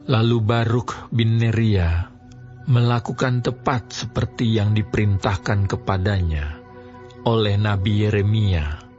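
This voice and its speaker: A man narrating a Bible reading in a slow, measured, even manner. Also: lowish voice, lo-fi sound. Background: music.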